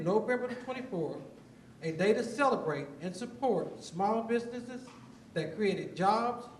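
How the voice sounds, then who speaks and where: A man's voice: sits high, slight background noise. A man, the mayor, reading a formal proclamation aloud at a city council meeting. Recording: echoey chamber, mic from far off.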